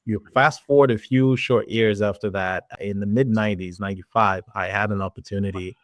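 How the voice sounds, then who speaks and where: A man in his forties speaks with a Nigerian accent, recalling his path in a measured, reflective way. His voice sits low. The sound is dry and close, with no room around it. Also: steady tone, dry recording. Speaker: podcast guest, tech executive. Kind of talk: casual interview talk.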